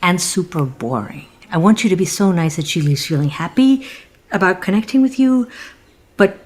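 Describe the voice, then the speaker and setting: An actress playing a middle-aged American single mother in an audio comedy, flustered, awkward and polite, with a halting, hesitant way of talking. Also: fairly low pitch, expressive. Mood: awkward, earnest. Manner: halting, hesitant, self-correcting. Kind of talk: naturalistic comedic audio play acting.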